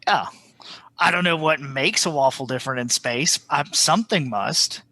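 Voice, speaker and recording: A man's voice: on the high side, normal speed, bright, forceful tone, no room sound, poor audio. A man on a podcast panel, chatting casually with friends.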